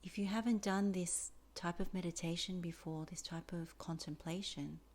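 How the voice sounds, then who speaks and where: Female meditation teacher, slightly low register, soft and breathy at phrase ends, measured and deliberate delivery. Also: fairly even intonation. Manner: slow, deliberate phrasing with many pauses. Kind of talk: guided meditation instruction.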